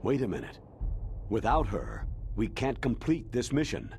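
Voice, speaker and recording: Low-voiced, guarded military colonel. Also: male voice, huge pitch swings, moderate pace, vocal fry, a little mellow, slight background noise, exaggerated voice. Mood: troubled, evasive. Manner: hesitant, defensive. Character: older male military colonel, guarded and evasive. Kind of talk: dramatic video game voice acting.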